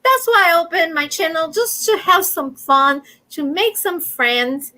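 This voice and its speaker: A woman hosting a casual livestream chat, talking loudly and animatedly and laughing often. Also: very high voice, lively intonation, measured pace. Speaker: livestream host.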